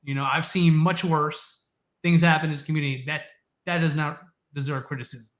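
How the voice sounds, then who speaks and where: A male podcast guest who talks in a relaxed, opinionated way. His voice sits a little high for a man and carries a roomy echo. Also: a little fast. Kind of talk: casual podcast chat.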